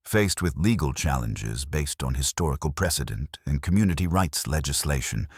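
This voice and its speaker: A man with an exceptionally deep voice narrates a detective story. His scripted delivery is formal and measured, yet the intonation moves around a lot. The sound is very dry. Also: slightly rough, no room sound. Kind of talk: scripted audiobook-style narration.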